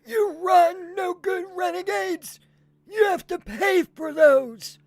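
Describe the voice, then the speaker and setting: Woman as a shrill, cranky old male shopkeeper. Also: slow, darkish tone, exaggerated voice. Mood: furious. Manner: scolding, exasperated. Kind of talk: fan audio drama acting.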